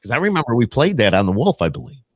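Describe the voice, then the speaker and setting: A man's voice: medium pitch, huge pitch swings, racing. A middle-aged man chatting casually as a podcast host, rambling and teasing as he interviews his guests.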